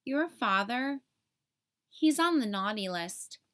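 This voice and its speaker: A woman voicing a kindly, reassuring old Santa Claus in a storybook, explaining things gently. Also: on the high side, animated, slow. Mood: grave.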